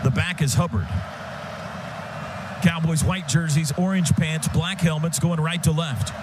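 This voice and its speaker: American male radio play-by-play announcer, fairly high voice, clipped action calls, heavy stadium din behind. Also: steady tone, unhurried. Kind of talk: live American football radio commentary. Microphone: broadcast booth microphone. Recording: loud stadium noise behind the voice. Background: loud outdoor stadium ambience.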